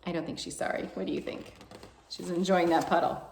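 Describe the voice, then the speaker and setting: A woman's voice: on the low side, steady tone, brisk, creaky, a little mellow, echoey room, slight background noise, poor audio. A woman hosting a children's storytime, reading a picture book aloud in a playful, rhythmic, emphatic way. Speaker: children's library storytime host.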